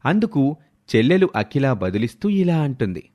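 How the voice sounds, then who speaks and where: Male narrator for moral tales: mid pitch, highly varied melody, scripted storytelling delivery. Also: very animated, normal speed, mellow, dull audio.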